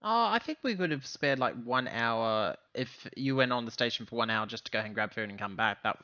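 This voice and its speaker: A man's voice: mid-range voice, animated, brisk, pushed and bright, dull audio. A man chatting casually as a player in a tabletop roleplaying game. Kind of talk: casual game chat and questions.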